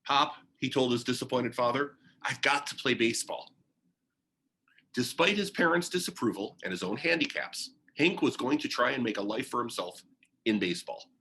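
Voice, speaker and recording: Expressive male storyteller with lively pitch. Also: slight background noise. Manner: deliberate storytelling with short phrases and pauses. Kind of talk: read-aloud of a children's biography.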